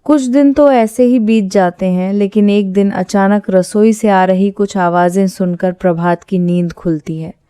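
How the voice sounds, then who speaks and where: Female narrator for scripted horror stories: warm, dark timbre, very dry close recording. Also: medium pitch, measured pace, clean tone, no echo.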